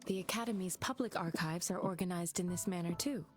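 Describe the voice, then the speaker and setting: Game role: composed, helpful female archive guide, lowish voice, matter-of-fact delivery over music a woman acts it. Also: fairly quick, natural voice. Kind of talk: video game voice acting. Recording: loud game music behind.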